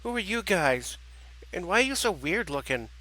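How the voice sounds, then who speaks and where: A plucky storybook little girl, put on by a male narrator. Her voice is raised and bright, and she speaks with childlike excitement and frank curiosity. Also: high-pitched, moderate pace, pitched above the performer's own voice, exaggerated voice, poor audio. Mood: curious.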